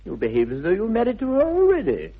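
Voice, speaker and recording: An actor playing a witty, self-indulgent young Victorian gentleman with an upper-class English accent, arch and languid, in 1960s British radio comedy style. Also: sits high, huge pitch swings, quick pace, slightly rough, phone-quality sound, full character voice. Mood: mock indignant. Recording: thin, band-limited old radio recording.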